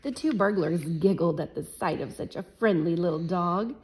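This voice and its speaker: A woman teacher reading a picture book aloud to children, animated and chuckling, pausing to talk to her class. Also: fairly low pitch, husky, rough recording. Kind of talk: children's read-aloud storytime.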